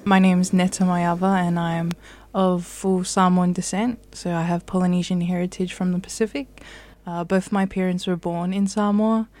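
Young New woman, low and flat. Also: moderate pace. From New Zealand. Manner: chatty, many fillers like "um" and "like". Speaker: climate activist guest. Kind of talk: casual interview answers.

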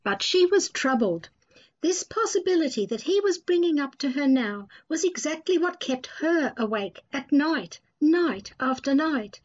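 A woman's voice: high voice, animated, a little slow. A woman narrating and presenting a dramatised reading in a scripted storytelling style. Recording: dull, lo-fi voice recording.

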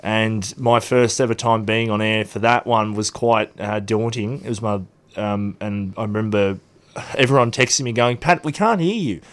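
A man's voice: lowish voice, normal speed. A young Australian man in his twenties, a radio guest telling long stories with many ums and restarts in a studio interview. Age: 20s.

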